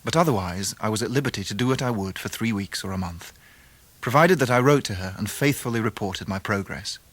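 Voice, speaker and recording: Earnest, husky young gentleman. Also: lowish voice, a little fast. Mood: measured narration. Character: earnest, affectionate young man, well-mannered. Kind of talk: radio period drama acting and narration.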